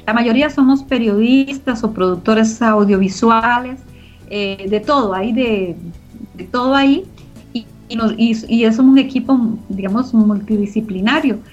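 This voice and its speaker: A woman's voice: a little high, unhurried. A woman being interviewed, explaining her organization's work in a conversational way. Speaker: interview guest from a community media organization. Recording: dull lo-fi audio, music behind.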